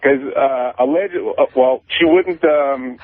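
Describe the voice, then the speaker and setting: A male caller on a thin, dry phone line, mid-range in pitch. He has been drinking and chats in a scattered, halting ramble, very casual and loose. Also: dry recording. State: drinking vodka. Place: phone call from a parking lot.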